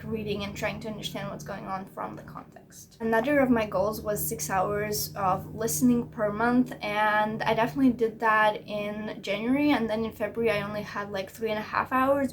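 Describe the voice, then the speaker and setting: A woman vlogger giving a casual update on her language learning, chatty and self-critical, with many fillers. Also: mid-range voice.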